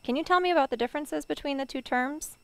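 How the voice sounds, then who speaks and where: A woman's voice: high-pitched, fast, fairly bright tone, no echo. A young woman hosting an educational program, upbeat and asking guided questions into a headset mic in a studio. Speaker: host. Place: studio set.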